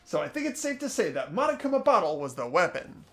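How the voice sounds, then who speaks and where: A man's voice: high voice, very animated, fast, creaky, fairly bright tone, full character voice. A man playing an earnest teenage boy detective, reading a video game script aloud over music. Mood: earnest, pondering. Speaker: player voicing a game protagonist. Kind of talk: voiced let's play, reading game script.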